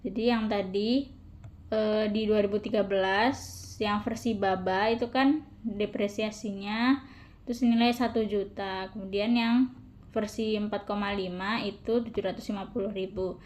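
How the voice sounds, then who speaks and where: A woman's voice: mid-range voice, steady tone, normal speed, a little mellow. A young adult woman, a student presenter explaining a worked calculation informally, step by step.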